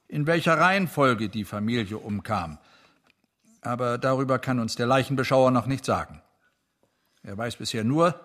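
An actor playing a determined lead police investigator in a radio drama, deliberate, factual and authoritative. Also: male voice, medium pitch, fairly bright tone, close to an everyday voice.

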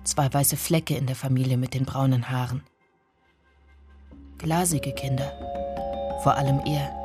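An actress playing a woman who remembers her lost lover, narrating a literary radio drama in a hushed, deliberate, intimate way. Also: a bass voice, fairly even intonation, loud music behind the voice. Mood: tense, haunted. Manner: hushed, deliberate, often breathy.

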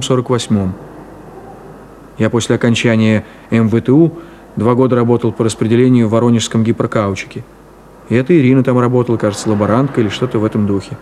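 Over a faintly hissy old radio recording comes a man acting a middle-aged cynic with a mid-pitched voice. He speaks in a clipped, ironic way, dismissive and emotionally detached, in the manner of 1970s Soviet radio drama. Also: a little mellow, close to an everyday voice. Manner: ironic, clipped, theatrical delivery.

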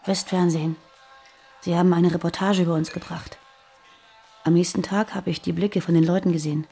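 A woman's voice: on the low side, fairly even intonation, fairly quick, warm tone, husky, faint music behind. An actress playing a guarded, earnest woman on the run in a naturalistic radio play. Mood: matter-of-fact. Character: guarded, frightened fugitive terrorist woman living under false names. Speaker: radio drama actor.

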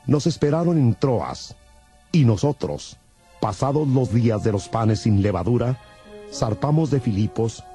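A man's voice: medium pitch, warm tone, breathy edge, no room sound. A man narrating scripture from a script for a dramatized audio Bible.

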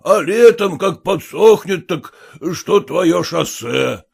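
A man's voice: high-pitched, lively intonation, slow, creaky, husky, voice pushed up, full character voice. A man voicing a placid, conciliatory village cart driver in a storybook, with a soothing, folksy, hesitant drawl. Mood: musing.

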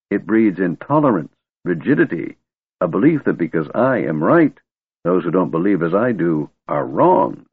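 Man putting on a high, cranky old wizard-god. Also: slightly rough, dry recording, heavily acted. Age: older. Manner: cranky yet wistful, scolding. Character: wise old male wizard-god, eccentric and stern. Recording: tinny phone-like dry recording.

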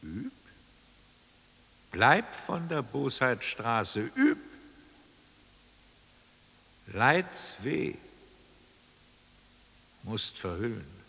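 A man playing a stern, uncanny divine lawgiver in a radio drama, commanding in slow, incantatory exclamations that swing from booming to hushed, with a gravelly, forceful voice in a cavernous reverb. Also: big pitch swings, exaggerated voice.

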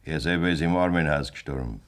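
A man's voice: extremely low pitch, fast talker. An actor with a Bavarian accent playing a scheming, blunt aging farmer who talks down to his wife in a radio play. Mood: boastful. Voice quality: blunt, self-assured and lively in tone.

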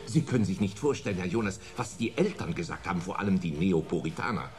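Low-voiced, fussy security chief played by a man. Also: quick pace, lightly acted. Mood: earnest, aggrieved. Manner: formal, earnest, indignant, emphatic. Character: fussy, formal, harried male park security chief. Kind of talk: German radio play acting.

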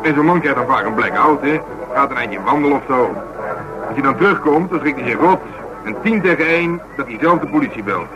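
An actor playing a burly, blunt, stubborn police detective in a radio play, talking gruffly and colloquially. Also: male voice, mid-range voice, animated, fairly quick, lo-fi sound, over music, close to an everyday voice. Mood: insistent, earnest. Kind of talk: naturalistic radio play dialogue.

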